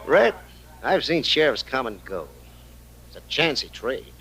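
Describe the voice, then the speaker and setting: An American actor playing a sly, menacing saloon owner in an old-time radio western, talking in a smooth, taunting manner. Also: male voice, medium pitch, sing-song, measured pace, fairly bright tone. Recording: vintage radio drama with music.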